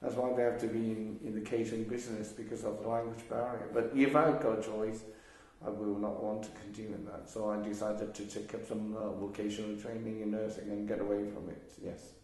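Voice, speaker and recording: Softspoken older man, echoey. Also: lowish voice, little pitch movement, a little fast, few pauses. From Hong Kong. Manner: hesitant, many fillers and restarts. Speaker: interviewee. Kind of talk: oral history interview. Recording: very echoey room.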